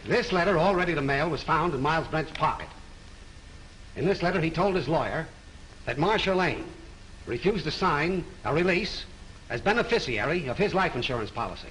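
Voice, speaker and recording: A man's voice: fairly high pitch, animated, normal speed, fairly bright tone, slight background noise, poor audio. An actor playing a brusque, sarcastic male police lieutenant who questions people bluntly, in 1930s film style. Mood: gruff. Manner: blunt, needling interrogation.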